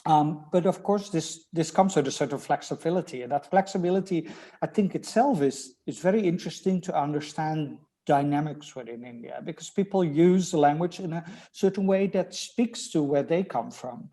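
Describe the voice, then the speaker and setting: A man from the Netherlands with a Dutch accent, speaking as a research guest in a thoughtful academic conversation, pausing often with ums and restarts. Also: a little high, dark tone.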